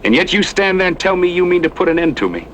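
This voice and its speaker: Cocky drawling Western gunslinger, noisy recording. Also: male voice, high voice, big pitch swings, quick pace, a bit bright. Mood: taunting, incredulous. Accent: American Western drawl. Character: cocky, easygoing Western gunslinger, amused and fatalistic. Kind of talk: Western radio drama acting. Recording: noisy old radio drama recording.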